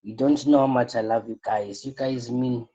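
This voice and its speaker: A man's voice: medium pitch, steady tone, normal speed, warm tone, muffled recording. A tired man, coaching a live online class and repeating his points with rhetorical questions. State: tired, voice strained.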